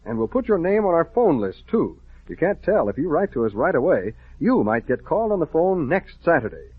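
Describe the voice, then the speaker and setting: An American man announcing on a 1950s children's radio show, giving eager, deliberate instructions to young listeners. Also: a little high, breathy edge, no echo. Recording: tinny, narrow-band vintage 1950s radio recording.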